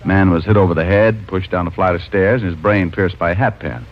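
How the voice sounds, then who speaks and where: An actor playing a breezy, wry private detective who both talks and narrates in a 1950s radio mystery. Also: male voice, low-pitched, fairly quick. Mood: matter-of-fact. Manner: wry, casual, hesitating with uh. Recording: muffled old radio recording with music.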